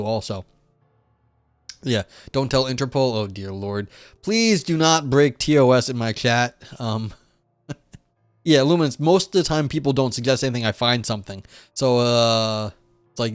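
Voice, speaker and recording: A man's voice: medium pitch, dry recording. Rambling man chatting on a live stream. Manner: rambling, filler-heavy, chatty with viewers. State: losing his voice. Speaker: streamer reading philosophy papers. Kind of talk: casual live-stream chat and reading aloud.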